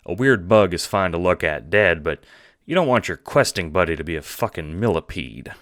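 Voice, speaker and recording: A man's voice: low voice, animated, moderate pace, fairly bright tone, no room sound, clean studio sound. A man narrating a scripted second-person audiobook drama.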